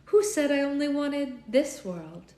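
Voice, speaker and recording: A woman's voice: high voice, animated, measured pace, mellow, pitched above the performer's own voice. A woman voicing a haughty, vengeful enchantress in an echoey room. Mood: smug, menacing. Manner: dramatic, emphatic delivery. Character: vengeful, haughty evil enchantress, wounded and theatrical. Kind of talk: expressive storybook reading. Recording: roomy echo, faint background noise.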